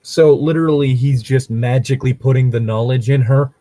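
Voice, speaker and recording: A man's voice: mid-range voice, fairly even intonation, fairly quick, mellow, dry recording. A man casually reading and critiquing a story as a podcast host, rambling, sweary and critical. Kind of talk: casual commentary with read-aloud passages.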